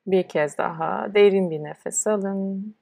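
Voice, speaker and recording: A woman leading a guided relaxation as a yoga nidra instructor, speaking in a soothing, unhurried way with pauses between instructions. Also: medium pitch, vocal fry, a little mellow, no echo.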